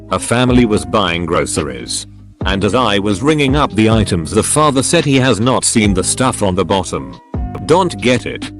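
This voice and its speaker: Male voice-over reader, lowish pitch, steady delivery, light music bed underneath. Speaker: narrator reading online posts. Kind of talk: scripted narration. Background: soft background music.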